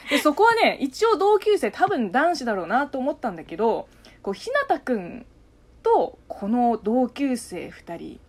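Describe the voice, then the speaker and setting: A young woman whose first language is Chinese talks casually, as if to a close friend. Her voice sits on the high side and swings widely in pitch. She is talkative and animated, and laughs easily. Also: normal speed. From China. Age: young adult. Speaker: radio talk host, amateur voice actor. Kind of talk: casual chat between friends.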